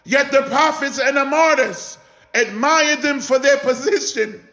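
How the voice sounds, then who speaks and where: High-pitched man preaching slowly and passionately. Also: sharp tone. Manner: exhortatory, emphatic repetition and rhetorical questions. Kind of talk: impassioned sermon. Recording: echoey room.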